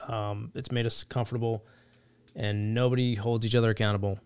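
A man's voice: lowish voice, little pitch movement, fairly quick, a little mellow, smooth. A man talking as a guest on a casual podcast, blunt and swearing freely about accountability.

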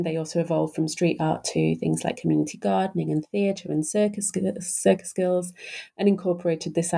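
Academic lady, low gravelly voice, kinda flat, lots of ums and restarts. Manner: thoughtful, hesitant, many fillers and restarts. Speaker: author interviewed about her book. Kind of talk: academic interview answer.

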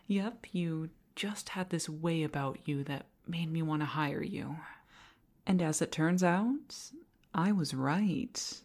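A woman playing a flirtatious, possessive office boss in an intimate audio roleplay, coy and halting, sometimes slipping into breathy tones. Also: low-pitched, darkish tone, full character voice. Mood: doting, flirtatious. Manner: coy, halting, giggly, often dropping to breathy whispers.

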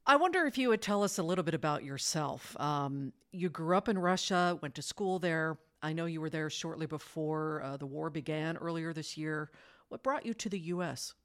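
A woman hosting an interview, asking composed, clearly phrased questions. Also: sits low, moderate pace. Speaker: interviewer host.

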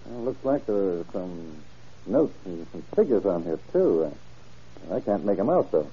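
Folksy old-radio sheriff, lively husky voice. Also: male voice, deep voice, exaggerated voice. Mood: methodical, pondering. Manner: folksy, plain-spoken, deliberate. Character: folksy, methodical middle-aged male county sheriff, dogged investigator. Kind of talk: old-time radio crime drama acting. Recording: muffled vintage radio drama recording.

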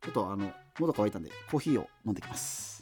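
A man's voice: fairly low pitch, lively intonation, rapid-fire, close to an everyday voice. An actor playing a rambling, opinionated, half-joking male host of a fictional subculture radio show. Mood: rambling, musing. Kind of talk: radio drama as late-night talk show. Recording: music behind the voice. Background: music.

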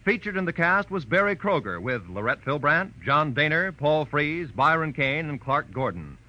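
A man announcing in formal, measured 1940s radio style, reading credits as a programme announcer. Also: on the high side, sing-song, bright, forceful tone. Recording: muffled vintage radio, music behind.

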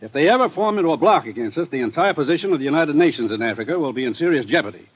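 Stern commissioner, old radio drama, fast urgent orders, husky muffled sound. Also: male voice, fairly high pitch, expressive. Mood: urgent, grave. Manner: urgent, authoritative. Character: brisk, grave male government commissioner giving orders. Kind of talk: 1950s radio drama acting. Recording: muffled, fuzzy vintage radio recording.

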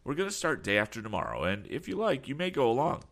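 A man's voice: medium pitch, very animated, fast talker, a bit bright, voice pushed up. A man voicing a frank, determined young inventor in a storybook, explaining things earnestly. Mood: eager, confident. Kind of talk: audiobook character voice.

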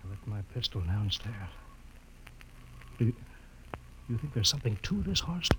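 An actor playing a rocket captain in a 1950s radio drama, on a noisy old recording. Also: male voice, lowish voice, big pitch swings, moderate pace. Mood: uneasy. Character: male rocket captain, sceptical, turning desperate.

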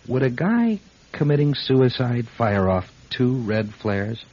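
An actor playing a troubled, doubting airline pilot in a radio drama, hesitant yet insistent. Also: male voice, mid-range voice, big pitch swings, slow, a little mellow. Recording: dull old radio, faint noise.

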